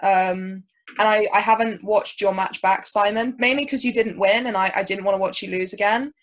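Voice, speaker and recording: A young woman with a high, cutting voice chats on a podcast as a guest. She is lively and quick to laugh, and she gets hyped up when she talks about her friends' matches. Her pitch sits in a middle range for a woman. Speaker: podcast guest, grappling commentator. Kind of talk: casual chat.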